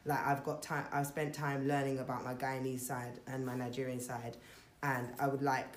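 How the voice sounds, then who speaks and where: A woman's voice: rumbling low, level tone, normal speed, a little hiss or hum. Reflective young woman in a roomy space. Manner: reflective, thinks aloud with many fillers. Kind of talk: casual group chat. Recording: roomy echo.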